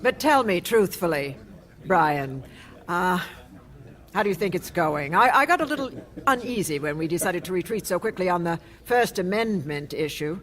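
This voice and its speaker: Newspaper publisher, played by a middle-aged woman: poised, polite, probing, quietly worried. Radio play. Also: lowish voice, animated, normal speed, lightly acted.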